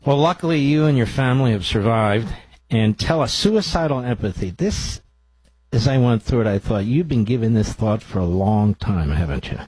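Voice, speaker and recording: A man's voice: medium pitch, expressive, moderate pace, darkish tone, husky, no echo, low-quality recording. A man hosting a radio talk show, introducing and questioning a guest.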